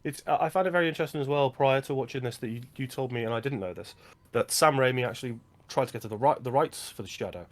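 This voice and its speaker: A man's voice: medium pitch, quick pace. A man co-hosting a film podcast, talking casually and with enthusiasm about movies he likes. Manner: enthusiastic, recommending.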